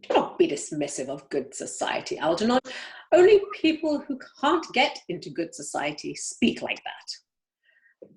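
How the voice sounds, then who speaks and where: A woman's voice: fairly low pitch, huge pitch swings, measured pace, exaggerated voice, rough recording. A woman playing a snobbish, imperious older upper-class aunt in an amateur play reading, haughty and emphatic, stumbling now and then. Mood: lofty, pronouncing. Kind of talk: amateur play read-through.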